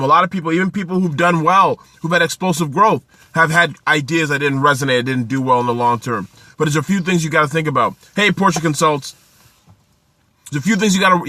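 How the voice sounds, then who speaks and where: A man's voice: fairly high pitch, bright, forceful tone, no room sound. A man from Canada in his thirties, hosting a casual live stream outdoors, greeting viewers by name and giving upbeat business advice. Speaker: live-stream host, accountant and author. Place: outdoors in the sun.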